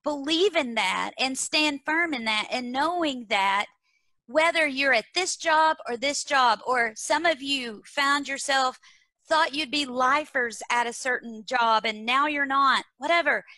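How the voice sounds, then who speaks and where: A woman's voice: high voice, measured pace, bright, forceful tone, no echo, poor audio. A woman with a Texas accent, speaking earnestly as a guest on leadership and repeating her key phrases for emphasis. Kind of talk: conversational interview.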